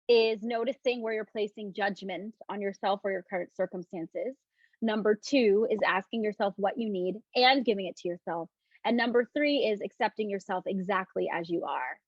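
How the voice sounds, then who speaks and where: A woman's voice: medium pitch, no room sound, lo-fi sound. A woman leading a live online self-love talk, soothing and repetitive at times, chatty and loose at others. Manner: soft, reassuring, repeats phrases slowly for emphasis; loose, chatty with many "like" and restarts. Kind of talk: guided talk with breathing cues and viewer questions.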